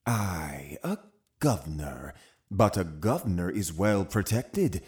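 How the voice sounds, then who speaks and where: An actor playing a cunning, zealous Puritan uncle in an audio drama, commanding and condescending with deliberate phrasing. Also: male voice, deep voice, darkish tone. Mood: menacing, righteous. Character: cunning, zealous Puritan uncle, a menacing witch-hunting authority. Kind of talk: full-cast audio drama acting.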